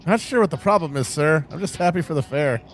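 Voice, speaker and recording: A man's voice: on the high side, expressive, fast talker, natural voice. A man playing a placid, cheerful, oblivious cab driver with a folksy, easygoing manner in a radio-play parody. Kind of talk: parody of old-time radio drama. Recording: car noise behind the voice.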